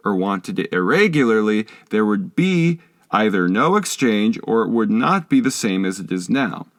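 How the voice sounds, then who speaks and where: A man reading a philosophical text aloud as an audiobook narrator, in a measured, didactic manner. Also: mid-range voice, no room sound, clean studio sound. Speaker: audiobook reader.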